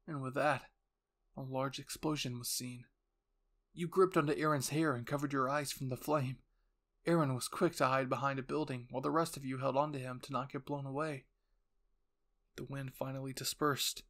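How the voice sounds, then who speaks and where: A man's voice: medium pitch, steady tone, normal speed, a bit bright. Man narrating a dramatic anime roleplay. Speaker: narrator and channel host. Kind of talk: dramatic second-person scripted narration.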